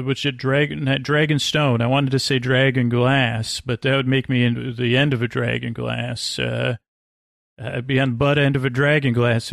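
Creaky, meandering man hosting a bedtime podcast. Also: medium pitch, no echo, clean studio sound. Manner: meandering, digressive, halting with self-interruptions; lulling, gentle. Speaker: podcast host. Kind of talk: rambling, soothing bedtime monologue.

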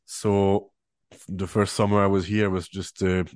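A man's voice: deep voice, little pitch movement, measured pace, darkish tone, clean tone, no room sound, studio quality. A man from French-speaking Switzerland with a French Swiss accent, a musician chatting in an interview, full of fillers and restarts. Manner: hesitant, many fillers and restarts. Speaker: musician and guest. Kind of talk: relaxed interview chat.

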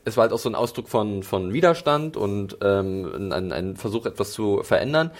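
A man's voice: lowish voice, lively intonation, moderate pace. A man hosting a casual film podcast, speaking in a hesitant way with many fillers and restarts. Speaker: podcast host.

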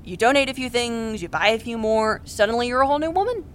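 Casual, encouraging thirties best friend, played by an actress, wry, higher female voice, faint rumble behind. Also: expressive, fast, lightly acted. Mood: wry, teasing. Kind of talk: naturalistic audio drama dialogue.

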